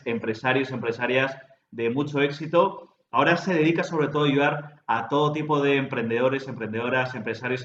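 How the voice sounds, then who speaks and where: A man's voice: medium pitch, a bit bright. A man hosting an interview, introducing his guest with admiration and asking about her beginnings. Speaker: host. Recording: roomy, dull, with faint background noise.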